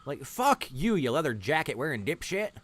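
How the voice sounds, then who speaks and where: A man's voice: on the high side, sing-song, moderate pace, fairly bright tone, slight background noise. A man hosting a livestream, giving casual, rambling reaction commentary in a blunt, sarcastic, sweary manner. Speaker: livestream host.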